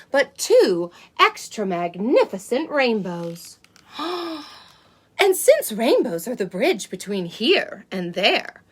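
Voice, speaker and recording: A sweet, wide-eyed unicorn, played by a woman, tells a picture-book story to children. Her voice sits fairly high and swoops up and down with exaggerated wonder. She takes her words very slowly, cooing over them. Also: exaggerated voice. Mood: enchanted, cooing. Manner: breathy gasps, cooing wonder, exaggerated emphasis.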